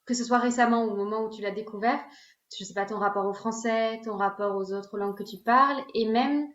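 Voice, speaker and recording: A woman's voice: medium pitch, fairly even intonation, brisk, fairly bright tone. A woman from France with a French accent, hosting an interview and asking long, curious, probing questions. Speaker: podcast host. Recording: echoey, dull lo-fi audio.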